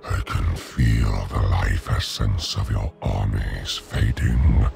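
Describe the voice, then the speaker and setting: An actor voicing a huge, brutal, commanding orc with a growling, gravelly voice in a game cinematic. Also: male voice, a bass voice, steady tone, slow pace, darkish tone, soft background music, exaggerated voice. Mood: menacing, urgent. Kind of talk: dramatic game cinematic acting.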